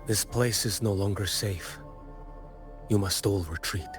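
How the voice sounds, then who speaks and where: A man voicing a calm, brooding wandering swordsman in a video game, speaking in a solemn, measured and terse way. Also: on the low side, music in the background, lightly acted. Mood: grave.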